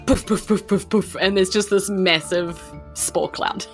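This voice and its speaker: A woman's voice: mid-range voice, fairly even intonation, a little slow, soft background music. A woman with a New Zealand accent, running a tabletop game as game master in an informal, conversational storytelling style. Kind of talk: improvised tabletop game narration.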